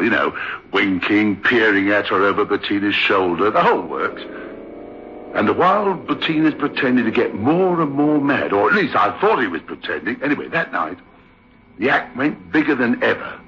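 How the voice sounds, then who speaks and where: A bright-voiced theatre manager with wildly swinging intonation. He is talkative, bewildered and eager to reason, heard on a hissy old radio drama recording. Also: medium pitch, dull audio, full character voice. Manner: conversational, emphatic, stammering when flustered. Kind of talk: old-time radio drama acting with first-person narration.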